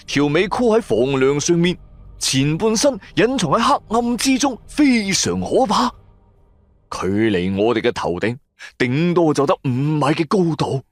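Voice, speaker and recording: A man's voice: mid-range voice, dry recording. A man narrating a first-person horror radio drama in a suspenseful, emphatic style. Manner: suspenseful, emphatic, drawn-out stresses.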